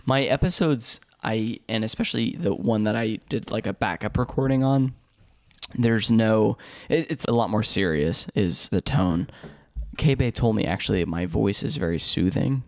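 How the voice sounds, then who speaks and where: Gravelly-voiced American man telling stories. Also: fairly low pitch, expressive, moderate pace, no echo, studio quality. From United States. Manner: storytelling, chuckling often, many fillers and restarts. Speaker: podcast co-host. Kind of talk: casual chat.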